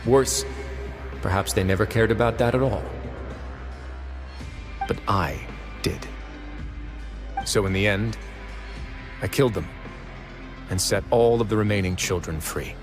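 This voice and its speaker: An actor playing a calm, composed male prison warden in a game, speaking in a low, measured voice. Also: over music. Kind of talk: game voice acting.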